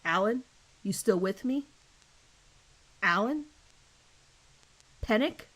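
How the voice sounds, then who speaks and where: A woman's voice: medium pitch, expressive, slow pace, fairly bright tone, low-quality recording. Horror drama interrogator: hard-nosed male detective voiced by a woman, blunt, pressing, sardonic. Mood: accusing, forceful. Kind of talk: audio horror drama reading.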